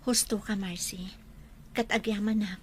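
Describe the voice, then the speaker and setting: A woman acts out a mourning, weary widow in an Ilocano radio drama. She speaks softly and breathily in a middling pitch with an Ilocano accent, over faint ambient noise. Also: steady tone, measured pace, lightly acted. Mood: mournful, weary. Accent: Filipino, Ilocano. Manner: soft, breathy, downcast. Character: sorrowful, weary widowed older sister figure.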